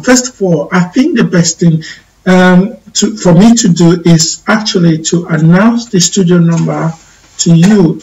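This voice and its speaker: A man's voice: a little high, gravelly, darkish tone, recorded from a distance, poor audio. Nigerian man hosting a live call-in show. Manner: hesitant, many ums and restarts. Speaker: radio show host. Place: studio in the UK.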